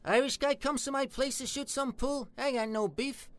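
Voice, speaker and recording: A man's voice: very high voice, fairly even intonation, moderate pace, a bit bright, dry recording. An actor playing a foul-mouthed, bigoted Italian-American tough guy with a New York accent, brash and streetwise in a comic dialogue. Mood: blustering. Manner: brash, profane, streetwise slangy talk.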